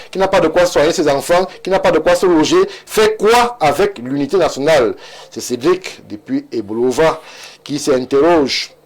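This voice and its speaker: Guy hosting a tv debate, reads out viewer messages steady and measured, voice sits a bit high. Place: TV studio.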